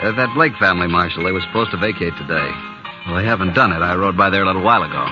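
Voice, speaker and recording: An actor playing a pompous, scornful middle-aged banker in a 1950s radio western, with a stiff, sneering manner. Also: male voice, low-pitched, very fast, close to an everyday voice. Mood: haughty. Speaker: wealthy mortgage holder. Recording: dull lo-fi old radio recording with music.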